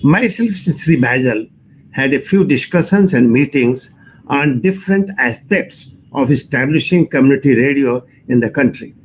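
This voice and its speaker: An older man with an Indian accent and a slightly husky voice, giving an explanatory talk in measured, formal phrasing as a technical expert. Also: medium pitch, expressive, a little hiss or hum, rough recording. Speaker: radio engineering expert giving a presentation. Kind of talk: explanatory lecture with personal recollection.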